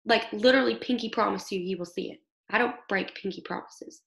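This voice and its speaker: A young woman with a hoarse voice from illness, leading her team in an upbeat motivational talk over a video call, often checking in with "okay?". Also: mid-range voice, brisk, a bit bright. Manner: upbeat, coaching, keeps asking "okay?". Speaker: team leader. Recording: echoey room.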